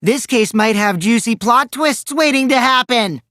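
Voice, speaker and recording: A man's voice: very high-pitched, animated, a little slow, bright, forceful tone, dry recording, clean studio sound, heavily acted. An actor playing a mischievous, taunting teenage boy in a video game, theatrical and teasing, with a youthful boyish voice that can turn from cutesy to cold. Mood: playful, calculating. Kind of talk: animated game dialogue in a murder-mystery trial.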